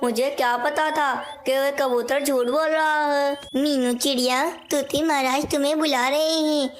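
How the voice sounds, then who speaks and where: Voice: sits high, fairly even intonation, unhurried. A performer voicing a talking bird in a children's cartoon tale, speaking in simple storybook dialogue. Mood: earnest.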